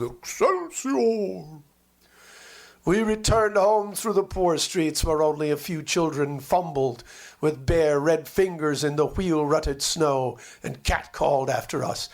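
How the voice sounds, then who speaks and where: A man reads a literary memoir aloud with a storyteller's sense of drama. His voice sits a little high for a man. He takes his time, shaping each phrase deliberately and expressively.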